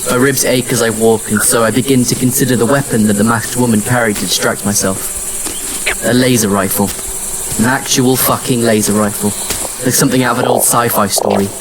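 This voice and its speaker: A man playing a somber, determined wanderer, narrating a science fiction audio drama in the first person, measured and reflective. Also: medium pitch, noisy background, lightly acted.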